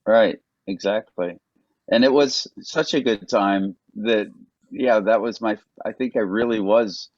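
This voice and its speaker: A man talking as a guest over a video call, hesitant and halting, often repeating words. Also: lowish voice, dry recording, highs cut off. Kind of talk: casual conversation on a video call.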